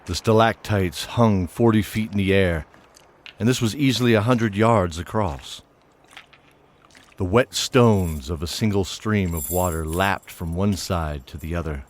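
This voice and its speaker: Low-voiced male fantasy narrator. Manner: measured, descriptive storytelling. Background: faint music.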